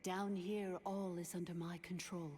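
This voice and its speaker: A woman's voice: lowish voice, level tone, a little slow, a little mellow, a little hiss or hum, natural voice. A woman voicing an imperious, aloof ruler of machines in a video game, cool, formal and commanding. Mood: aloof, assured.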